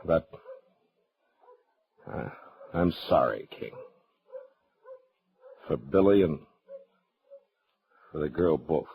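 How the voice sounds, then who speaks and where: An actor playing a regretful Old West marshal, grave and hesitant, in a 1950s radio western. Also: male voice, extremely low pitch, expressive, very slow, vocal fry, long pauses, full character voice. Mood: somber, sorry. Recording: tinny narrow-band old radio recording.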